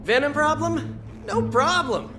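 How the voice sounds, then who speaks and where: An actor playing an eager, awkward teenage boy superhero, excitable and breezy, in a video game cutscene. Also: very high-pitched, measured pace. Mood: eager bravado. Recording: roomy echo, loud music behind.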